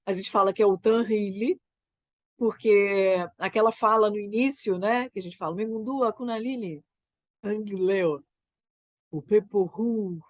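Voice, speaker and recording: A woman with a Brazilian Portuguese accent, a theater creator getting over a cold, talking about her work in a reflective, halting way and often tagging her sentences with "né?". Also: medium pitch, no echo, clean studio sound. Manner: thoughtful, halting, frequent "né?" tags. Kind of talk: reflective conversation.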